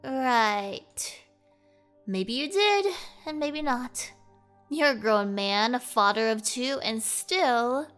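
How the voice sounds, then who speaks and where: A woman's voice: on the high side, animated, slow pace, a bit bright, clear, resonant tone, faint music behind. A woman voicing an exasperated, sarcastic mother of two who scolds curtly. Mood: sarcastic, scolding. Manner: scolding, curt.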